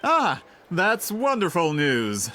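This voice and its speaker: A man's voice: high-pitched, huge pitch swings, takes it slowly, heavy background noise, exaggerated voice. An actor playing an earnest, courteous male researcher in a video game, speaking formally and politely. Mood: courteous, eager. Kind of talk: English video game voice acting.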